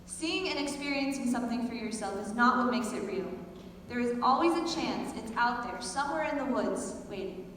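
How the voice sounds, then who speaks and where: A teenage girl, a high school senior, performing a theatrical monologue. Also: expressive, normal speed. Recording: very echoey hall, distant mic, faint noise.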